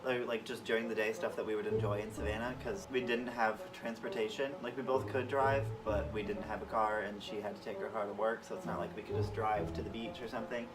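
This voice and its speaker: A man's voice: on the low side, flat delivery, brisk, hardly any pauses. A young man co-hosting a vlog, telling stories in a casual, chatty, rambling way. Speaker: vlog co-host. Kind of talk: casual storytelling. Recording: distant mic, music behind.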